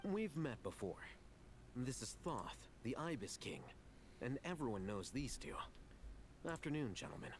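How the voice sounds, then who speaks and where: A man's voice: mid-range voice, very animated, unhurried, a little hiss or hum. An actor playing a composed, blunt male scholar in a video game, formal and matter-of-fact.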